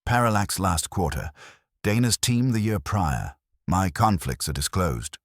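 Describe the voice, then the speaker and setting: A man portrays a shrewd consultant in an audiobook mystery. He sounds polished and coolly gracious, with a low, husky voice and lively intonation. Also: measured pace, no echo, studio quality, exaggerated voice.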